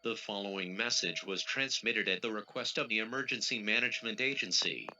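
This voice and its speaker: A man's voice: fairly low pitch, brisk, sharp tone, slight background noise. A synthetic male text-to-speech voice reading a scripted emergency alert in a formal, mechanical way. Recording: lo-fi audio with music behind.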